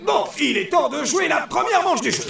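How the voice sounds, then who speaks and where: A man's voice: very high-pitched, big pitch swings, normal speed, vocal fry, a bit bright, full character voice. A man playing a bombastic, theatrical alien game show host with a villainous glee in a cartoon. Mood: showy, excited. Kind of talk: game show presenting in a French cartoon dub. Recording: roomy, distant, music behind.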